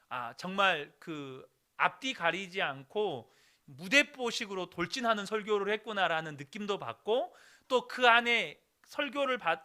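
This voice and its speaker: A man's voice: high voice, sharp tone. A man in his thirties, a pastor, preaching a sermon earnestly and addressing the congregation directly. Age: 30s. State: recovering throat, clears throat.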